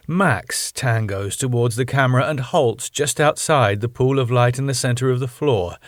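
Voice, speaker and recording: Male narrator, medium pitch: scripted screenplay reading, measured and deliberate, dramatic phrasing, dry close sound. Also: no echo. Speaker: narrator reading his own sitcom script.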